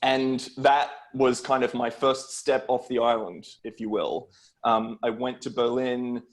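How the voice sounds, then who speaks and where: A man's voice: medium pitch, fairly even intonation, unhurried. A middle-aged man with an Australian accent, speaking as a guest film producer in a conversational storytelling style on a video call.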